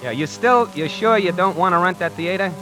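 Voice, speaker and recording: A man's voice: sits high, a little fast, bright, forceful tone, rough recording. An actor playing a brash salesman who narrates his own story in a 1940s radio drama, wisecracking and conversational. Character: brash fast-talking male salesman. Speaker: lead and narrator. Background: music score.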